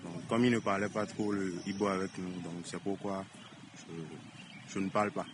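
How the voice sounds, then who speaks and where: Hesitant low-voiced man outdoors. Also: fairly even intonation, normal speed. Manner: hesitant, with restarts. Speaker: street interview passerby. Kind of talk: vox pop answer. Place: street outdoors. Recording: noisy outdoor street recording.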